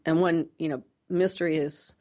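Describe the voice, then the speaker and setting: A woman with a slightly low voice explains science as an interview guest. She is measured and clear in her wording, pausing mid-phrase now and then as she picks her terms. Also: steady tone, darkish tone.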